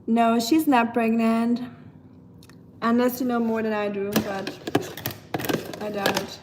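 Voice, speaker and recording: A woman's voice: a little high, fairly even intonation, takes it slowly, noisy background. A young woman with a German accent chatting casually with her live stream viewers while she washes dishes in her kitchen. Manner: chatty, talks to chat while washing dishes. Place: home kitchen. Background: clattering dishes and cutlery.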